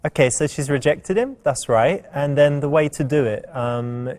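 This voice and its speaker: A man's voice: medium pitch, moderate pace, a little mellow. A man giving casual, unscripted advice commentary in a hesitant, explanatory way. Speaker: commentator explaining the right choice.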